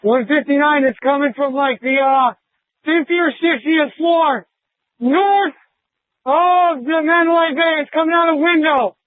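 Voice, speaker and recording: High-pitched policeman on a muffled radio. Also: unhurried, no room sound. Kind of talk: urgent police radio reporting.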